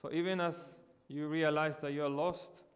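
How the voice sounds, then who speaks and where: A man preaching a sermon in earnest, slowing down to stress key words. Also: a little high, steady tone, in a large hall. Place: church hall.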